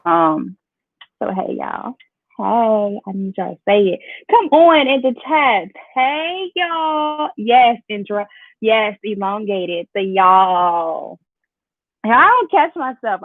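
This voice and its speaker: A woman's voice: medium pitch, gravelly, no room sound. A young woman from Chicago with a bit of Southern twang, chatting playfully with her viewers during a makeup livestream. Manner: chatty, playful, lively, talks to the chat. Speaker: beauty vlogger. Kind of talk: casual makeup tutorial livestream. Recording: dry phone-like audio.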